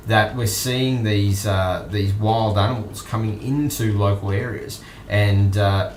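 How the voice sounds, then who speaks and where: An Australian man hosting an online news stream, commenting casually and off the cuff in a halting, musing way. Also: on the low side, steady tone, a little mellow, slight background noise. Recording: roomy echo with music behind.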